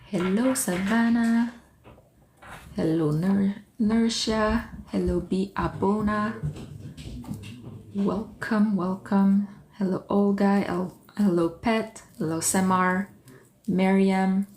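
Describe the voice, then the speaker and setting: A woman's voice: mid-range voice, fairly even intonation, takes it slowly, darkish tone, slight background noise, low-quality recording. A woman whose first language is French, chatting casually on a livestream from her art studio, greeting viewers by name and thinking aloud as she works. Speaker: artist hosting a livestream. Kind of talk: casual livestream chat while working.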